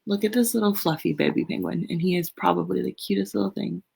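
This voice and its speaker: A woman reading a picture book aloud to children in an expressive storytelling way, with casual, chatty asides. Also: on the low side, fast, gravelly, background noise.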